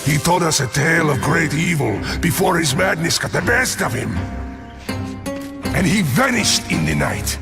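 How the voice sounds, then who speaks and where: An actor playing a grave, urgent cartoon character who speaks in dire earnest with a gravelly voice. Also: male voice, on the high side, very animated, normal speed. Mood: grave warning. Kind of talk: dramatic animated series acting. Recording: loud music behind the voice.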